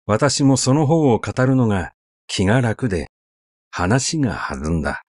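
A man narrating a literary story in a measured, conversational, expressive way, as if telling it to someone. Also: on the low side, no room sound, clean studio sound.

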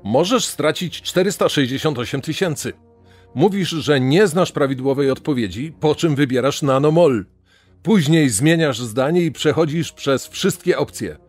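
Male quiz master showman, played by an actor, mid pitch, deliberate and incredulous, dry sound over music. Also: darkish tone, dry recording. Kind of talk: dramatized reenactment.

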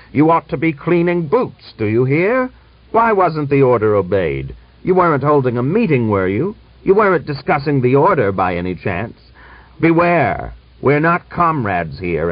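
Man acting out a blustering, hoarse, ageing general, dull recording. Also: sits low, no room sound. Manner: blustering, commanding. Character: irascible ageing imperious male general, barking orders.

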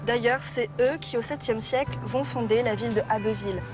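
A woman's voice: fairly high pitch, a little fast, over music. A woman, explaining things playfully as a guide on a tour. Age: 20s. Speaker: art history student acting as abbey guide. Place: inside a large stone abbey, later on its outdoor roof.

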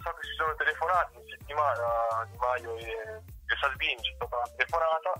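A man giving casual political commentary, halting and often repeating words as he goes. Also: mid-range voice, sharp tone. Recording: muffled phone-like line, music underneath.

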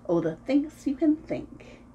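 A woman in her thirties reading a picture book aloud to preschoolers in an expressive, sing-song way, as host of a story time. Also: high-pitched, slow pace, muffled recording, a little hiss or hum. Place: small room at home, indoors.

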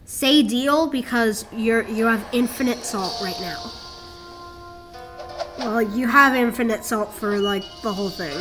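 A woman's voice: on the high side, a little slow, poor audio. A woman giving a casual game walkthrough, talking the viewer through steps in a rambling, self-correcting way. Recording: game music playing behind the voice.

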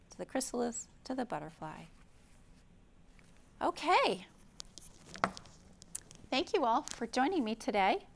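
Middle-aged American woman, children's storytime reader: slow pace, creaky edge, expressive and simple delivery. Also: on the high side, a little hiss or hum. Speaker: children's librarian, storytime host. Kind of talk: children's storytime read-aloud and show-and-tell. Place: indoor library room.

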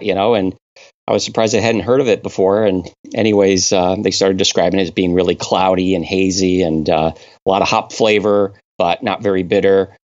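A man from Connecticut, a brewing scientist, explaining technical details in an interview, halting with many fillers. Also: sits low, a little mellow. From Connecticut, New England. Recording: dull lo-fi sound.

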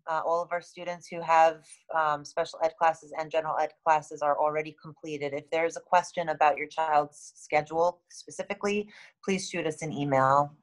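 A woman in her thirties with a halting, matter-of-fact manner, reading and answering questions as a school administrator on a video call. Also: low-pitched, rough recording. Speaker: school administrator hosting a town hall. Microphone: video call, computer microphone.

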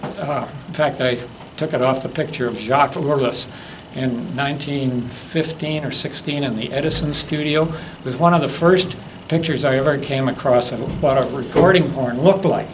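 A man in his seventies giving an informal demonstration talk, halting and anecdotal as he rambles along. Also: mid-range voice. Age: 70s. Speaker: guest demonstrator and hobbyist. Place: classroom lecture room. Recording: faint room noise.

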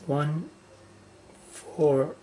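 A man giving a casual, halting step-by-step demonstration of equipment in short phrases, as a hobbyist. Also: on the high side, dark tone. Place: indoor room with wooden surface. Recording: noisy handheld camera recording indoors.

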